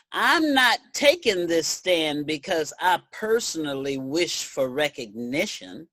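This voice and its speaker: A dignified, resolute activist, played by a woman, states her case firmly in reader's theater. She speaks very slowly and deliberately, in a bright voice with a dry, close sound. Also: on the low side, no room sound, exaggerated voice.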